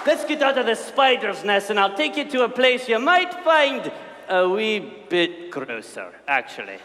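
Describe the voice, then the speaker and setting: A man playing a big, shaggy, gruff but affectionate groundskeeper in a comedic staged reading, loud and folksy. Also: sits high, very animated, moderate pace, full character voice. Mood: hearty, boisterous. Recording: echoey hall, live audience, faint noise.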